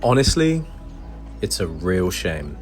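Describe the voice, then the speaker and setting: A man's voice: low voice, very animated, takes it slowly, faint music behind. A man, a wildlife photographer, narrating his family safari journey in an earnest, reflective voice-over.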